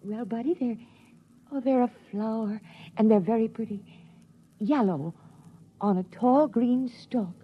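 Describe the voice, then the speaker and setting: An actress playing a frail mother longing for the lost sun, with a breathy, trembling voice, in a 1950s radio drama. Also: a little high, unhurried, pauses often. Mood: wistful. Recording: dull 1950s radio broadcast.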